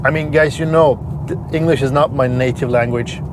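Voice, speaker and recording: Swedish man, non-native English, mid-pitched, casual car reviewer, audible road noise. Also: fairly even intonation. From Sweden. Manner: plain, explanatory, slightly halting with repeated words. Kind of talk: casual vlog narration while driving.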